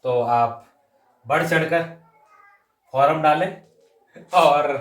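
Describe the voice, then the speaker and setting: A man's voice: fairly high pitch, drawn-out words. A man teaching an exam-coaching maths lesson, working through sums step by step, mixing in English terms and often adding short check-in phrases. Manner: mixes English number words and terms into the talk. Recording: roomy echo, distant microphone.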